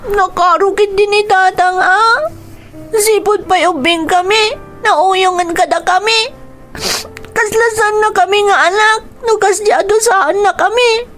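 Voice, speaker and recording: A frightened young child in an Ilocano radio drama. The voice is extremely high, plaintive and whimpering, with an Ilocano accent, over background music. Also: female voice, measured pace, a bit bright. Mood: tearful, pleading. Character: frightened, hurt young child of a violent father. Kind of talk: Filipino radio drama acting.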